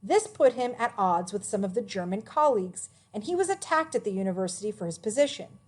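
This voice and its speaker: A woman reading a children's biography aloud as a narrator, with expressive, emphatic phrasing. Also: medium pitch, brisk.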